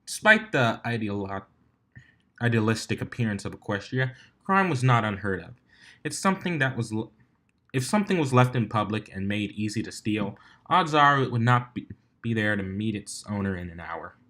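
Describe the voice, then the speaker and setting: A man's voice: fairly low pitch, very animated, moderate pace, a bit bright. A man reading a fan fiction story aloud as its narrator, in scripted storybook style.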